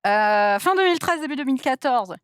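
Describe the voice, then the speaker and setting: A woman's voice: high voice, expressive, brisk, clear, resonant tone, no echo, clean studio sound. A woman hosting a casual chat interview, following along and steering the conversation. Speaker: interview host.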